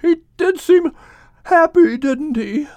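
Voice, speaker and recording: Man doing a soft sleepy dove, high lilting voice, slow, dry recording. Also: animated, no echo, heavily acted. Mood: gentle, tired. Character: gentle weary male dove.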